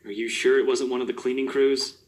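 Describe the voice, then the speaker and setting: A man voicing a blunt, skeptical young man who teases his friends in a loud, deep put-on voice. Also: level tone, brisk, lightly acted.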